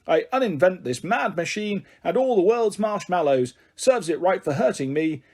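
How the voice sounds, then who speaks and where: A man's voice: sits high, animated, normal speed, voice pushed up. Man doing a pompous rhyming old professor. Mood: grandly rhyming. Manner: speaks in bouncy rhymes, indignant. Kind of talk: reading a children's book aloud.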